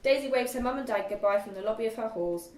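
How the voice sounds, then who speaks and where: A woman's voice: fairly high pitch, quick pace. A young woman reading screenplay directions aloud as narrator at a group table read. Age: young adult. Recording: distant echoey room, faint noise.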